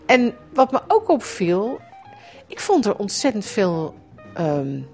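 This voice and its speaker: A woman's voice: mid-range voice, huge pitch swings, takes it slowly, a little mellow, faint music behind. A middle-aged woman from the Netherlands, a writer telling a personal memory in a reflective radio essay with a wry edge.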